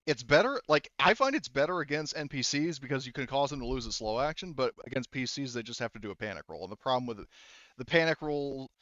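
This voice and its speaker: A man's voice: mid-range voice, lively intonation, fast, fairly bright tone, dry recording, highs cut off. A man running a tabletop game as game master, narrating casually and explaining rules, often hedging and correcting himself.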